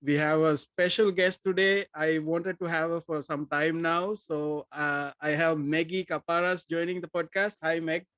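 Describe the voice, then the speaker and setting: A middle-aged man hosting a podcast from home, asking questions in a halting way with many fillers, speaking into a desk microphone on a video call. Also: on the high side, level tone, a bit bright, no echo. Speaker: podcast host. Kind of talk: casual video-call interview. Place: home room with posters behind.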